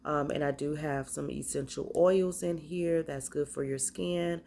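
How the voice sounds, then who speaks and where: A woman with a stuffy cold, casually showing off the spiritual bath and body products she makes, talking in a halting, hesitant way. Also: deep voice, steady tone, gravelly, warm tone, slight background noise.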